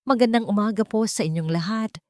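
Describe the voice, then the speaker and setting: A woman's voice: mid-range voice, brisk, darkish tone, no echo, studio quality, voice pushed up, natural voice. A woman audiobook narrator with a Filipino accent voicing a determined, hopeful woman leader, in an earnest, formal, declamatory manner. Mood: earnest, resolute. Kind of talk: audiobook character speech.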